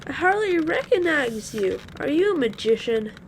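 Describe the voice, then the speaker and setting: A woman's voice: sits high, sing-song, normal speed. A woman playing an anxious, squeamish, brainy child, wordy and fretful, in a family audio drama. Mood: earnest, nervous. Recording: very echoey, distant, faint noise.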